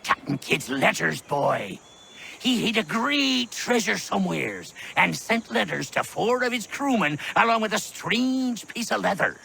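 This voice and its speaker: Game role: sly old salt, very creaky, swooping pitch, teasing pirate talk. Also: male voice, sing-song, a little slow, pushed and bright, a little hiss or hum, full character voice. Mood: sly, boastful. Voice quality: gravelly. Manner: teasing, salty pirate speech. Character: cackling, sly old salty sailor hoarding trinkets.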